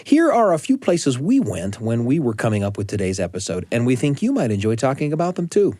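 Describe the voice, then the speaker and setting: A man hosts a family storytelling podcast conversationally. His average-pitched voice moves up and down a lot, recorded dry with faint music behind. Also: huge pitch swings, a little fast, dark tone, dry recording. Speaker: podcast host.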